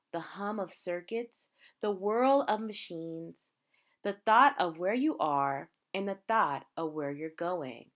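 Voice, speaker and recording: A woman reading a children's picture book aloud, with measured, dramatic phrasing and drawn-out words. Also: mid-range voice. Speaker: storybook reader.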